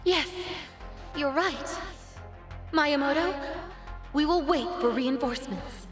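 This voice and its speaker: A woman playing a solemn, soft-hearted empress in a video game cutscene, speaking in formal, stately phrases. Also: high voice, slow. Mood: worried. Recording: music behind the voice.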